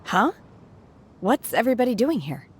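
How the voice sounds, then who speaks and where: An actress playing an aloof, calm young hermit woman in a game, terse and matter-of-fact. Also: fairly high pitch, normal speed, a little hiss or hum. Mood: calm, detached. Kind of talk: scripted game dialogue. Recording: music behind.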